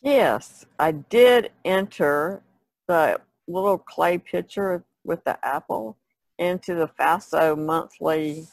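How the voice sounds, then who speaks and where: A woman's voice: lowish voice, slow pace, creaky, no echo, poor audio. A woman chatting casually as an artist on a podcast panel.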